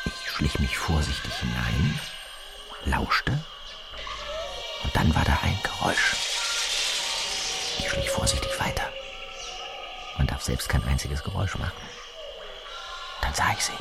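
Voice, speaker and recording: Deep gravelly cop, swings his tone a lot, dry and clipped. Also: wildly swooping pitch, a little slow, long pauses, heavily acted. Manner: dry, clipped, telling a violent story as a bedtime tale. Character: hard-edged male police detective, father. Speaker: police detective. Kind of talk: radio play acting. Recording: heavy music under voice.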